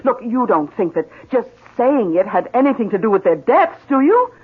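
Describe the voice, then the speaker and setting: An actress playing an anxious, caring wife in 1970s radio drama style, earnest and hesitant. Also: female voice, fairly high pitch, very animated, moderate pace, breathy edge, exaggerated voice. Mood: anxious, soothing. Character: Sarah, anxious, caring wife of a troubled artist. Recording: dull 1970s radio recording.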